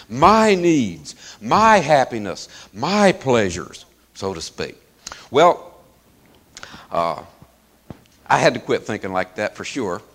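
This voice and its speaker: A hoarse middle-aged man leads a service with a loose, chuckling manner, full of "uh"s between his phrases. His pitch swings widely and climbs as he presses his points. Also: fairly bright tone. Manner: folksy, chuckling, loose with fillers. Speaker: pastor. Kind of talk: sermon.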